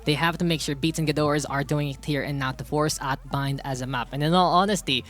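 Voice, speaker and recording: A man with a Filipino accent and a very bright, forward voice casts an esports broadcast. He is animated and informal, switching between English and Tagalog, with music playing behind him. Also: fairly high pitch, fast. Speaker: esports shoutcaster and panel host. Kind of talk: lively live esports commentary mixing English and Tagalog. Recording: music bed under the commentary.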